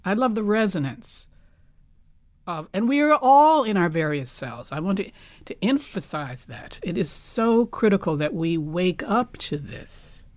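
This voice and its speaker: Female interview guest, playwright type: lowish voice, emphatic, measured phrasing, close dry sound. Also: very animated, no echo. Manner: emphatic, deliberate, articulate. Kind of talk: reflective panel interview.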